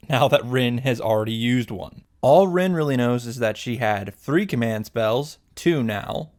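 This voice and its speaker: A man's voice: medium pitch, darkish tone. A man hosting a casual, scripted comedic recap, swearing freely and retelling things in an exaggerated, mocking way. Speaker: video host reacting to and summarizing a visual novel.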